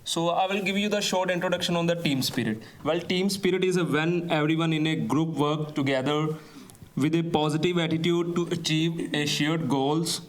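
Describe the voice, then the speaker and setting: A man's voice: a little high, steady tone, moderate pace. A man introducing his group's presentation to the class, upbeat and direct. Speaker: student presenter.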